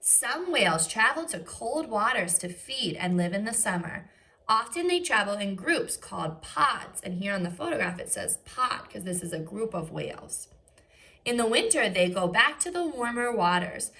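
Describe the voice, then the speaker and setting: A woman's voice: medium pitch, very animated, normal speed, bright, forceful tone. A woman teacher reading aloud to young children, enunciating carefully and adding short explanatory asides. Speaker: first grade teacher.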